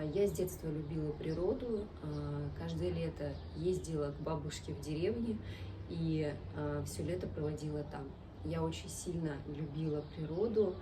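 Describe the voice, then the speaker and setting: Low-pitched woman vlogger, noisy recording. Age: 30s. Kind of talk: casual talk to camera, introducing oneself. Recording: clearly noisy amateur vlog sound.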